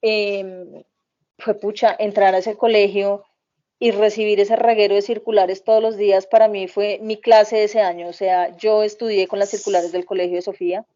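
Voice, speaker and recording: A woman, a podcast guest and mother, telling family stories in a rambling, animated way, laughing often and full of fillers. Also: medium pitch, normal speed. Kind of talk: casual conversational storytelling. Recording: slightly muffled podcast audio.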